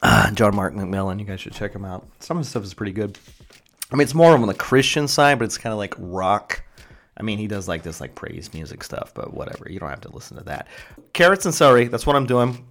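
A man's voice: lowish voice, a bit bright, slight background noise. A man hosting a solo podcast, rambling from tangent to tangent with sarcastic, crude jokes, sometimes chewing while he talks. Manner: joking, sarcastic, tangent-prone, crude humor. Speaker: podcast host.

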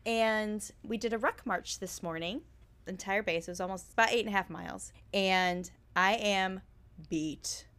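Hoarse, raspy-voiced woman podcast co-host. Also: medium pitch, moderate pace. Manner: chatty, animated storytelling. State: hoarse, recovering voice. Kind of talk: casual chat.

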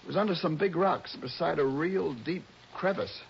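Gravelly, shaken young man. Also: a little high. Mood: shaken, halting. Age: young adult. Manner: halting, faltering. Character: shaken, grieving young city-bred man. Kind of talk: 1960s radio drama acting. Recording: muffled, noisy old radio recording.

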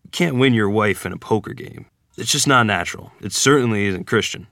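A man acting an earnest, awkward rural Missouri ferryman groom from the 1920s at a screenplay table read, plain-spoken and halting. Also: fairly low pitch, fairly bright tone, no echo, clean studio sound. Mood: uneasy, earnest.

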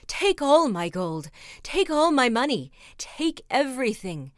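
A woman voicing a greedy king in a bedtime story, speaking his lines louder and pleading. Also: sits high, big pitch swings, unhurried, no room sound, studio quality, voice pushed up.

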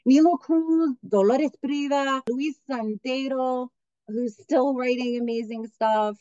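A woman's voice: high-pitched, slow pace, mellow, dry recording, dull audio. A middle-aged woman from Miami, a theater director speaking enthusiastically as a podcast guest, often restarting her sentences. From Miami, United States. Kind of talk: podcast interview.

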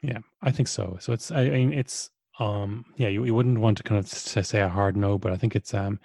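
Low voiced guy who speaks irish, rambly and hesitant, dry close sound. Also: fairly even intonation, normal speed, creaky, darkish tone, no room sound. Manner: thoughtful, hesitant, many restarts and fillers. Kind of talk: casual conversation.

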